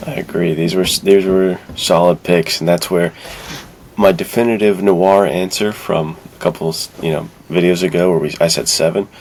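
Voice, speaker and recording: A man's voice: deep voice, fairly even intonation, moderate pace, darkish tone, a little hiss or hum. A man co-hosting a podcast, giving emphatic opinions in casual, informal conversation. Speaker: podcast co-host. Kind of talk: casual film discussion.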